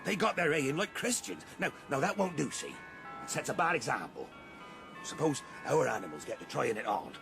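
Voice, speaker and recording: Gravelly, blustering rural English farmer. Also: male voice, on the high side, sing-song, fairly quick, music in the background. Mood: scheming. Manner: rustic, emphatic, grumbling. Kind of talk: radio drama acting.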